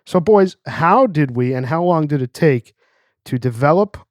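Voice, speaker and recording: A man's voice: fairly high pitch, animated, normal speed, no room sound, clean studio sound. Man hosting a cigar podcast. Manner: reads letters aloud, jokey. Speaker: podcast host reading listener mail. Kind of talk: casual chat. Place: cigar lounge.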